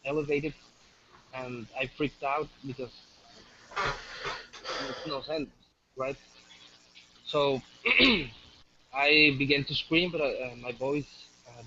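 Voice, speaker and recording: Soft-spoken young Mexican man. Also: medium pitch. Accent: Mexican Spanish. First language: Spanish. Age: 20s. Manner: halting, many fillers and restarts, soft-spoken. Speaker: guest storyteller. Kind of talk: hesitant casual storytelling. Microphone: computer mic on a voice call. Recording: dull muffled voice-chat audio with faint hiss.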